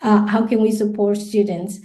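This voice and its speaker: A woman's voice: mid-range voice, flat delivery, normal speed, mellow. A woman from Colombia whose first language is Spanish, speaking as a guest in a podcast interview, thoughtful and full of restarts. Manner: thoughtful, many restarts and repeated words, light laughs.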